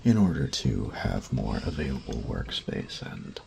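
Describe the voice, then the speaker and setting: A man playing a grumpy, fastidious steampunk artificer-mage in an audio roleplay, speaking formally and precisely with pedantic, halting phrasing. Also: extremely low pitch, big pitch swings, gravelly, warm tone, slight background noise, full character voice. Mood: lecturing. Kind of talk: scripted roleplay audio drama, addressing the listener.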